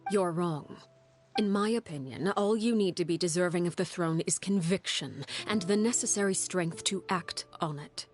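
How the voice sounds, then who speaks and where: An actress as a commanding matriarch of a secret family in a video game. She is low-pitched and composed, with measured, formal delivery and stern authority, over clear background music. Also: a little mellow, natural voice. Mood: firm, grave. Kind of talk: scripted video game dialogue.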